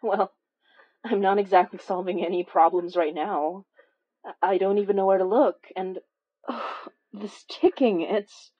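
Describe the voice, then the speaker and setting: A woman's slow, halting voice in a dry, slightly dulled recording, an actress in an audio drama. She plays it earnest and anxious, a protective investigator choosing her words. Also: medium pitch, fairly even intonation, no echo, dull audio, close to an everyday voice. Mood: subdued, uneasy.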